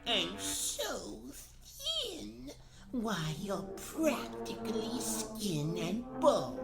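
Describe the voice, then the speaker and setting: A woman's voice: mid-range voice, wildly swooping pitch, very slow, gravelly, loud music behind the voice, exaggerated voice. A woman playing a sly old witch who poses as a sweet little old lady, theatrical and gloating, in a comedic fairy-tale radio play. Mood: sugary sly.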